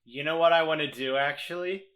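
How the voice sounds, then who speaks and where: A man playing a tabletop roleplaying game, talking casually in a hesitant, deliberate way. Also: on the high side, steady tone, fairly bright tone. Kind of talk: casual game chat, some in-character talk.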